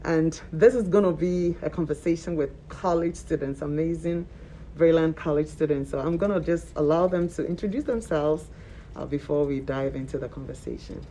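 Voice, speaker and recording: A woman's voice: sits low, normal speed, warm tone, a little hiss or hum, rough recording. A woman hosting a panel talk show, talking with animation and repeating words for emphasis.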